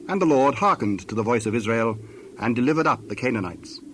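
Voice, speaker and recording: Solemn male Bible narrator, mid-pitched. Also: animated, slight background noise. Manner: measured, solemn storytelling in short phrases. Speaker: scripture narrator. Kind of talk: scripted dramatized Bible narration.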